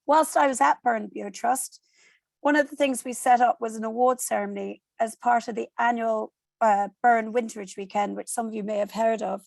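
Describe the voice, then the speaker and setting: An Irish woman, hoarse from a cold, giving a steady online presentation over a video call and explaining with frequent ums. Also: a little high, brisk, dry recording. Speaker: guest speaker.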